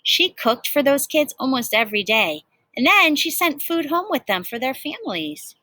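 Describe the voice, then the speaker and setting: A woman teacher reading a picture book aloud to children in an expressive storytelling style. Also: high-pitched, normal speed, dry recording.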